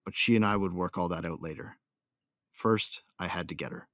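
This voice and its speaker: A man's voice: sits low, moderate pace, a bit bright, no room sound, studio quality. A man playing a hot-tempered warrior who narrates his own battles in an audio drama, intense and sardonic. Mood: bitter, wry.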